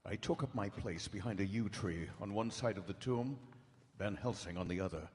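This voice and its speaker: Actor as grave doctor narrating, in a reverberant hall. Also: male voice, lowish voice, darkish tone, slight background noise, lightly acted. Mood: grave dread. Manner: measured, dramatic, deliberate phrasing. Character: grave doctor recounting vampire horrors from his journal. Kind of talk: live radio drama narration in 1930s-40s manner. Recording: live stage broadcast in reverberant hall.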